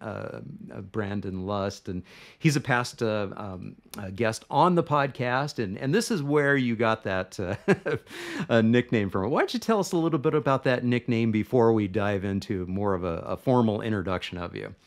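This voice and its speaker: A man's voice: fairly low pitch, expressive, moderate pace. A middle-aged man hosting a podcast interview over a video call, friendly and hesitant, with lots of uh and um. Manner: friendly, hesitant with many fillers. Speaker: podcast host.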